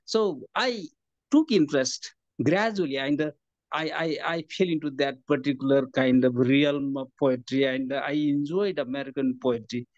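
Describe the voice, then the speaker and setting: A man's voice: mid-range voice, normal speed, no echo. A man in his fifties with a Nepali accent, answering interview questions over a phone video call, often hesitating with fillers. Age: 50s. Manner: hesitant, many "uh, let's say" fillers. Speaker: guest, poet and professor. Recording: dull lo-fi phone call audio.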